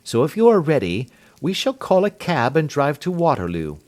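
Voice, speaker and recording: A man voicing a calm, amused detective who speaks quietly and imperturbably. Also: a little high, moderate pace, voice pushed up. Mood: explaining.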